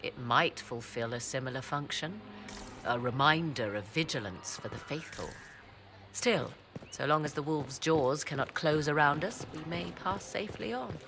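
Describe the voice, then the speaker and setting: A woman playing a haughty, learned mystic in a fantasy video game, speaking in formal, measured, archaic phrasing. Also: deep voice. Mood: lecturing, solemn. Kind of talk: dramatic video game dialogue. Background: video game music.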